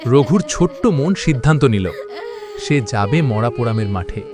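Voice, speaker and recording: A man tells a scripted horror tale as narrator. His medium-pitched voice moves animatedly, with a dramatic, suspenseful delivery. Music is clearly present behind him. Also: animated, moderate pace.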